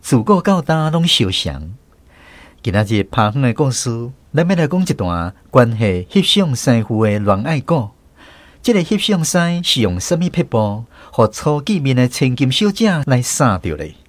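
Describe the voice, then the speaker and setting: A man with a Taiwanese accent hosting and narrating a scripted radio programme in an expressive storytelling manner. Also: medium pitch, normal speed, no room sound.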